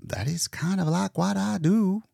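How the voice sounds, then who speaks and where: A man's voice: high voice, measured pace, vocal fry, mellow, husky, dry recording, studio quality, pitched above the performer's own voice, full character voice. A man voicing a demure, humble, earnest woman in a storybook reading, shy and folksy in manner. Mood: admiring, demure. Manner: shy, plain-spoken, folksy.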